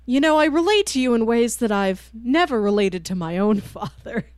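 A woman's voice: fairly high pitch, expressive, normal speed, a little mellow, clean tone, no echo, studio quality. A woman co-hosting a casual book discussion, giving her opinions in a reflective, conversational way. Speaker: podcast co-host.

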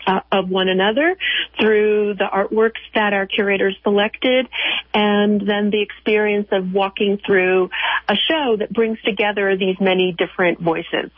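A woman speaking as a curator in an interview, explaining in a measured, halting way over a phone line. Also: mid-range voice, fairly even intonation, husky, dry recording. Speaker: museum chief curator, interview guest. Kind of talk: unscripted explanatory interview answers. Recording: narrow tinny phone line.

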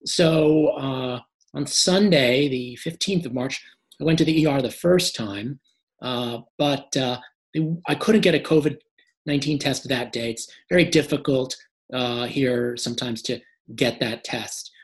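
A hoarse man who gets short of breath, answering interview questions with many "uh" fillers. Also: medium pitch, unhurried. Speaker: guest.

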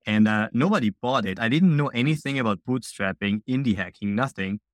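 A young man from Austria, a software founder, answering interview questions in an upbeat, chatty way full of fillers. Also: medium pitch, a little fast, no room sound, clean studio sound. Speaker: guest, indie founder.

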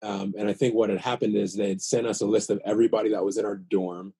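An American man in his late thirties talking casually and sincerely, in a reflective, halting way, circling back over phrases as he thinks. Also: lowish voice, level tone, warm tone, slightly rough, no room sound. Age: late 30s. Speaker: podcast guest, new father. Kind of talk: casual heartfelt chat.

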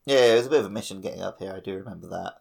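Very deep, creaky-voiced male podcast host. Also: racing, darkish tone. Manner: informal, explanatory. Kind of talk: casual game discussion.

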